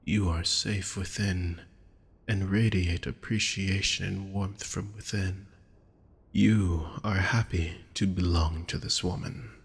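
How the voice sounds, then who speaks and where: A man playing a suave, possessive vampire fortune teller in an intimate audio roleplay, speaking formally and theatrically with breathy murmurs. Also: sits low, steady tone, slow pace, heavily acted. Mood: entranced, possessive. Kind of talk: intimate scripted audio roleplay addressed to the listener.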